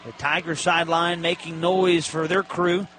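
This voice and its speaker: A high-voiced man calls a college football game on the radio. He describes the action in clipped, detailed phrases. Faint stadium noise sits behind his voice. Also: level tone, normal speed, fairly bright tone. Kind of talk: live sports play-by-play commentary. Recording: live broadcast with stadium background noise. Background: stadium ambience, faint noise.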